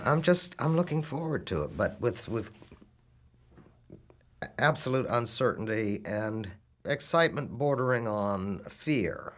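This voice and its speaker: A man's voice: on the low side, a bit bright. A man in a documentary interview, speaking thoughtfully and searching for his words.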